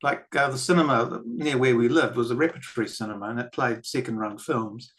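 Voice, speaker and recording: A man's voice: medium pitch, fairly bright tone. Reflective older New Zealand man. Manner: reflective, unhurried storyteller. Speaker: guest filmmaker and author. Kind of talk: podcast interview.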